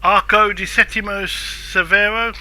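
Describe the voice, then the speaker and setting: A man's voice: high voice, fairly even intonation, a little slow, pushed and bright, low-quality recording. A man casually commenting on a game walkthrough, chatty and self-deprecating. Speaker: gameplay walkthrough narrator.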